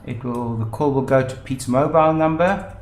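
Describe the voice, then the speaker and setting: A man presenting a software tutorial, explaining methodically step by step. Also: mid-range voice, normal speed. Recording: roomy, echoing voice-over.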